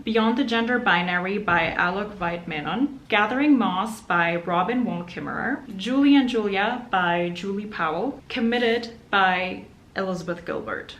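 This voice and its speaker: A woman's voice: medium pitch, a bit bright, echoey room, a little hiss or hum. A young adult woman whose first language is German, chatting upbeat in a reading vlog with light laughs. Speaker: book vlogger.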